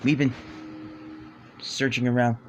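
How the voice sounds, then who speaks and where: A man performing fan-made superhero script lines as an amateur voice actor, with halting, deliberate phrasing. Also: medium pitch, little pitch movement, slight background noise.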